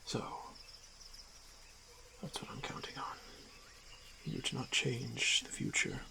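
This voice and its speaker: Orc warrior , male performer: confident hunter, slow solemn delivery with gravel, fantasy roleplay audio over outdoor night ambience. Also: mid-range voice, fairly even intonation, noisy background. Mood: calm, reassuring. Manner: measured, halting, terse phrases with solemn pauses.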